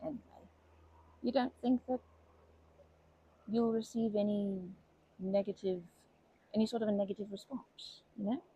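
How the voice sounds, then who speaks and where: A woman playing a kindly, protective grandma in an ASMR comfort roleplay, speaking gently and hesitantly with fond pet names. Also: medium pitch, steady tone, normal speed, long pauses, dull audio, a little hiss or hum, lightly acted. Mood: soothing reassurance. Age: older. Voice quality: creaky, husky.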